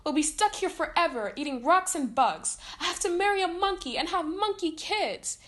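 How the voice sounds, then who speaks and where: A woman's voice: very high voice, expressive, moderate pace, fairly bright tone, voice pushed up. A woman voicing a dramatic, complaining teenage sister in a children's cartoon storybook, with an indignant, exclaiming manner. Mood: upset, dramatic.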